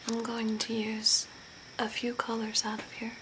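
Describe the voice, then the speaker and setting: A young woman playing an attentive, macabre zombie makeup artist in a whispered ASMR role play, with long pauses. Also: on the high side, monotone, lightly acted. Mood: soft, attentive. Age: young adult. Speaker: ASMR performer. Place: small curtained room indoors. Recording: noticeable background hiss and ambience.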